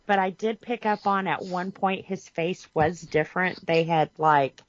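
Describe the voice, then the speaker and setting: A woman's voice: fairly low pitch, a little slow, highs cut off. A woman co-hosting a casual podcast chat, who laughs often and trails off mid-thought. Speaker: podcast co-host.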